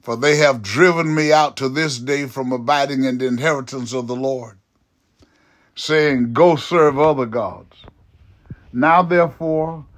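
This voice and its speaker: A man's voice: medium pitch, close to an everyday voice. A man reading the lines of an earnest young warrior pleading with a king, his voice a little raised.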